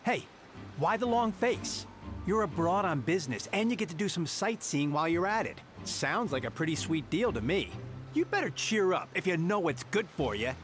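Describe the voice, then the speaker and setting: Pushy smuggler goon from a game, pretty high, really animated delivery. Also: male voice, moderate pace, over music. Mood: bossy, scornful. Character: bossy, brash smuggler henchman, adult man. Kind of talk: video game character acting.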